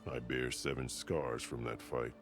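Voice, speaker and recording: An actor playing a stern, proud warrior chief who speaks in formal, commanding declarations in a video game. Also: male voice, rumbling low, little pitch movement, measured pace. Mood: grave, resolute. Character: stern, proud warrior chief of a tribe, battle-scarred male ruler. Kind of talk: dramatic video game cutscene acting. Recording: game music behind the voice. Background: game soundtrack music.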